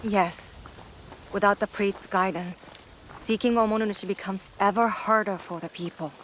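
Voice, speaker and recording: An actress playing a devout shrine priest who speaks formally and cryptically in a video game cutscene. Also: female voice, medium pitch, fairly even intonation, moderate pace, a bit bright, a little hiss or hum, natural voice. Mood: grave. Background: music.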